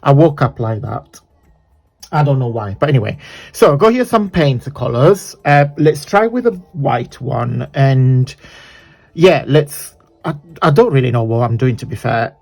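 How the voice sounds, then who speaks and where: Croaky Italian man chatting over crafts. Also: mid-range voice, very animated, measured pace, a little mellow. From southern Italy. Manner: rambling, chatty, self-correcting. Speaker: craft tutorial host.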